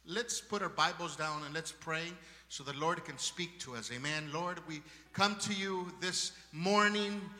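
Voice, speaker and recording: A man with a strong Spanish accent preaches to his congregation with fervent, ringing calls of praise. His voice sits high and sounds strikingly bright. The recording carries the long echo of a church hall, heard from a distance. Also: measured pace, hardly any pauses. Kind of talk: sermon.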